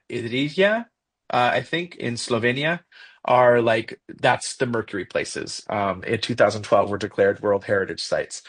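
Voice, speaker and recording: A young man with a Mexican accent, co-hosting a casual podcast discussion, talking in a halting way with many fillers and restarts as he thinks aloud. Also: mid-range voice, fairly bright tone. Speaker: podcast co-host.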